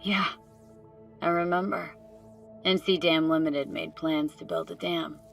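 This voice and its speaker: An actress playing a cool young mercenary in a video game, curious and terse, with a low-key delivery. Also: female voice, steady tone, a little slow, gravelly. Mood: subdued, attentive. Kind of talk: naturalistic video game acting. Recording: faint music behind the voice.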